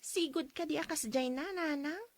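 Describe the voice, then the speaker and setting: A woman playing a gentle, concerned young daughter in a radio drama, speaking in a plaintive, questioning way. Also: sits high, normal speed, no echo. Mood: worried, questioning.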